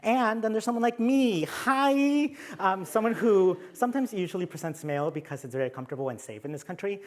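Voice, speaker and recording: A young adult man with a Mexican accent presents a conference session from his slides. His voice sits a little higher than most men's, and he keeps his delivery playful, slipping in self-deprecating jokes between the heavier points. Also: expressive, brisk.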